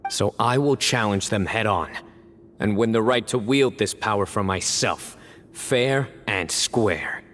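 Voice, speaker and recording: An actor playing a stern young male desert warrior, calm, measured and resolute. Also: lowish voice. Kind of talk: game cutscene voice acting. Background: faint game music.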